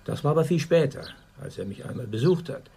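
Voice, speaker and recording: An actor playing a reluctant older man, guarded and measured as he recalls a buried past, in a German radio drama. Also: mid-range voice, expressive, vocal fry, warm tone, slight background noise. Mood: reflective.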